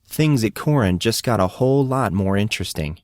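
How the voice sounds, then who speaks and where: Low-voiced male audiobook narrator. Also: animated, fairly quick, loud music behind the voice. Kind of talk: scripted fiction narration.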